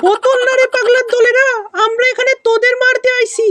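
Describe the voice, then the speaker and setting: Casting: extremely high-pitched, scolding cartoon matriarch, bossy and full of threats. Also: female voice, little pitch movement, fairly quick, a bit bright, no room sound. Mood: threatening. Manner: scolding, exclamatory. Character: bossy, threatening wife and mother in slapstick parody. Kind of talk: comic Bangla fan dub of a cartoon.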